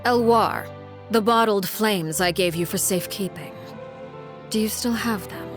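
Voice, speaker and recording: A woman playing a cold, commanding leader known as a father figure, speaking in a deliberate, formal, controlled way in a video game. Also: mid-range voice, music in the background, lightly acted. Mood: cold, solemn.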